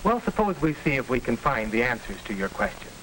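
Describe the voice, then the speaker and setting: Courteous, lively-toned 1940s school counselor. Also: male voice, mid-range voice, fairly quick, low-quality recording. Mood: courteous, guiding. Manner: courteous, didactic questioning. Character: formal, measured male school counselor guiding a student. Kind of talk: 1940s educational film acting. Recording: hissy 1940s film soundtrack.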